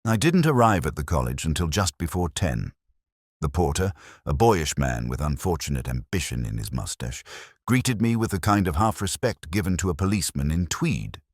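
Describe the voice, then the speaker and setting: An actor playing a world-weary, literate older police inspector, narrating his case in a measured, wry, reflective way. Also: rumbling low, very animated, husky, no echo, studio quality, heavily acted. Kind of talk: scripted first-person detective narration.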